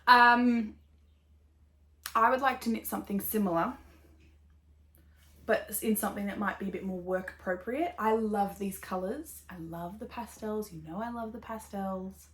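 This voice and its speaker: An Australian woman in her thirties chats casually to camera as a podcast host. Her talk is rambling and upbeat, full of "like" and "um", at an ordinary middle pitch. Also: moderate pace, a bit bright. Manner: rambling, upbeat, full of fillers and little laughs. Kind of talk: casual chatty knitting podcast.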